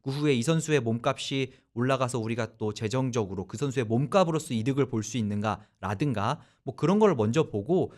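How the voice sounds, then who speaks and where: A man hosting a radio sports talk show, explaining at length in a loose, animated conversational way. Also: mid-range voice, brisk, hardly any pauses.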